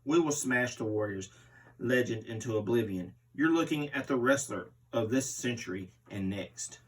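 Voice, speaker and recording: A man plays a brutal barbarian pro wrestler giving an interview. He boasts and threatens like a promo, in a mid-low male voice. The voice sits some distance from the microphone. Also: expressive, moderate pace, natural voice. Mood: boastful. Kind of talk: interview read aloud as a wrestler.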